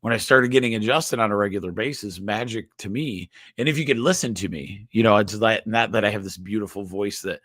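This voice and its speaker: A nasal, somewhat low-pitched man explaining health topics to a live online audience. He speaks haltingly, restarting his phrases and tagging lines with quick check-ins. The sound is close and dry, with no room echo. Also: fairly bright tone. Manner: halting, frequent restarts, checks in with "okay?" and "right?". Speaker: chiropractor host.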